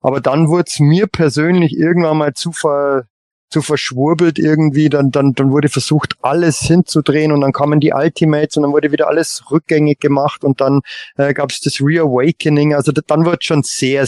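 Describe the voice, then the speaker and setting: Halting, higher-voiced man chatting on a podcast. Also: darkish tone, no room sound, studio quality. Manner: halting, repeats words while thinking. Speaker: podcast panellist. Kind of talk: casual fan podcast chat.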